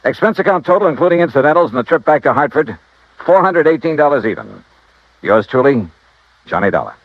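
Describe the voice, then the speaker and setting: An actor playing a tough, driven insurance investigator in an old radio detective drama, with a clipped, forceful delivery. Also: male voice, on the high side, sing-song, a little fast. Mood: wry narration. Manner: clipped, hard-boiled, forceful. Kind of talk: 1950s radio detective acting with first-person narration. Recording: muffled, noisy vintage radio recording.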